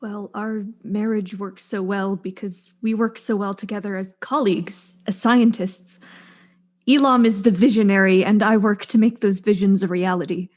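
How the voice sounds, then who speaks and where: A woman playing a proud, composed widowed scientist in a mystery drama, speaking in a measured, earnest way. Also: medium pitch, steady tone, a little mellow, natural voice. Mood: wistful pride. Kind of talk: acted mystery audio drama.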